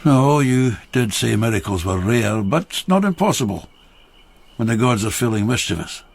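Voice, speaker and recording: An actor playing a rueful rural labourer of old Japan in a radio drama, a wry storyteller with a gravelly, husky voice. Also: male voice, medium pitch, expressive, moderate pace. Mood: curious, wry.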